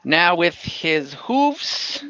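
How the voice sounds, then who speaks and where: A game master narrating a tabletop role-playing game informally, thinking out loud while tallying dice over an online call. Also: mid-range voice, big pitch swings, drawn-out words, no room sound, lo-fi sound. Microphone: online group call.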